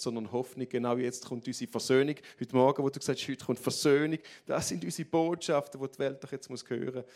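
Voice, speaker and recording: A man with a broad Graubünden Swiss German accent addresses a church audience from the stage. His voice sits at a middle pitch, and he talks in a candid, self-mocking way, often restarting his sentences. He also sounds openly moved. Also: a little mellow. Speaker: church leader speaking on stage.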